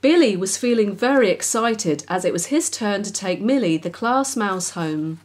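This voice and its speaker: A woman's voice: mid-range voice, expressive, normal speed, a little mellow, a little hiss or hum. A woman narrating a children's story expressively as its author.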